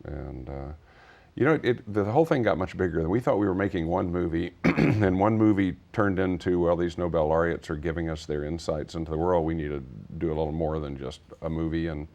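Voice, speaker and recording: A man's voice: sits low, fairly quick, gravelly, a little mellow. A middle-aged American man from Texas speaking as a guest in an interview, earnest and discursive as he explains things conversationally. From Texas, United States. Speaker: interview guest, nonprofit founder.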